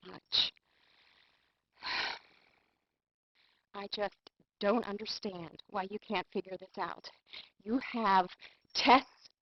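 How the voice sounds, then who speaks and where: A woman's voice: sits high, no echo, highs cut off, full character voice. A woman playing a distraught, exasperated mother of a sick child in a video game. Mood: angry, pleading. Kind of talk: FMV game acting.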